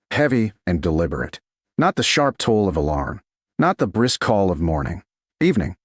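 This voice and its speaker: A man narrating a slow-burn romance story from a script, in measured, deliberate short phrases. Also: on the low side, dry recording, clean studio sound. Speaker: audiobook narrator.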